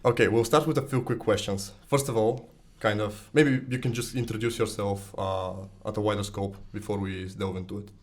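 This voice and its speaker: A man's voice: fairly low pitch, steady tone, moderate pace, few pauses, faint music behind. A young man hosting a university podcast, introducing a guest and asking polite, slightly tentative questions. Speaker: podcast host. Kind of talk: podcast interview.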